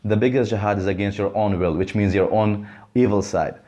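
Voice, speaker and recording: A young man from Afghanistan, a student speaking calmly and measuredly in an interview, pausing on fillers. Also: fairly low pitch. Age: young adult. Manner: calm, hesitant with fillers, measured. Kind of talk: interview testimony.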